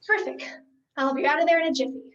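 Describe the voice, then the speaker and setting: Insistent, exasperated sensible type, played by a teen girl; high voice, theatrical emphasis, distant pickup. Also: racing, slight background noise, lightly acted. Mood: coaxing. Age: teenager. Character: exasperated, insistent voice of reason coaxing a mime. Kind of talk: school one-act comedy stage acting. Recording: dull, distant school play recording.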